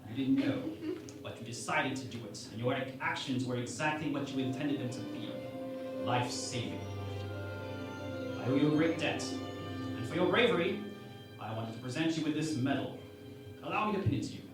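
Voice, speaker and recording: A man's voice: mid-range voice, expressive, brisk, pauses often, close to an everyday voice. A man playing a self-assured, cheeky starship captain with grandly formal delivery in a sci-fi parody radio play. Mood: solemn, grateful. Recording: echoey, distant, music underneath.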